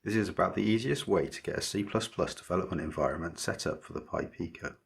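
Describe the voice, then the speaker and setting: A man with a low, creaky voice presents a scripted electronics tutorial. His tone is very husky and breathy-rough rather than smooth. He explains each step methodically, in a measured, explanatory manner. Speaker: maker presenting an electronics project.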